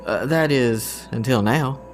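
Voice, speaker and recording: An actor with a Southern US accent playing a goofy, good-natured country man in an audio drama, talking in a folksy, drawn-out way. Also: medium pitch, natural voice. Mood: animated storytelling. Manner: folksy, drawn-out, excitable storytelling. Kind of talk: scripted audio drama dialogue.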